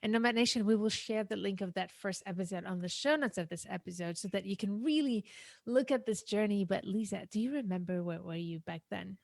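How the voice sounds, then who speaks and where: A woman's voice: mid-range voice, brisk, gravelly, a little mellow, no echo, clean studio sound. A woman hosting a podcast interview as a business coach, speaking in an enthusiastic, effusive way.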